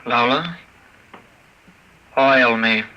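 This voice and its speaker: An actor playing a gentle, naive male robot in a 1950s radio drama, asking simple, earnest questions. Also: medium pitch, drawn-out words, muffled recording, slight background noise. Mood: plaintive.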